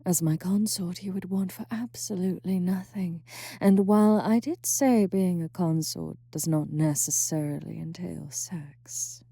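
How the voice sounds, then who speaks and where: A woman voicing a breathy, gravelly succubus. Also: lowish voice, mellow, no echo, heavily acted. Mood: sultry.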